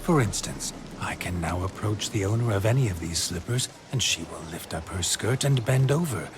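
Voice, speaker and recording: A man's voice: fairly low pitch, expressive, normal speed, background noise, lightly acted. A man playing a brash, boastful, lecherous nobleman in a video game, with smug teasing delivery. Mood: smug, flirtatious. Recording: loud background music.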